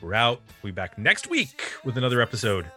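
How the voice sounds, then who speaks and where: A man's voice: mid-range voice, sing-song, a little fast, loud music behind the voice. A man with an American accent hosting a podcast, talking casually and giving announcements. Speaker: podcast host.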